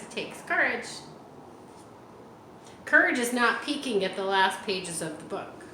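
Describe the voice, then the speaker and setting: A woman reading a children's picture book aloud and chatting with young listeners between pages. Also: medium pitch. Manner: animated, talks directly to young listeners. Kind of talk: read-aloud storytime with chatty asides to kids. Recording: roomy echo, faint ambient hiss.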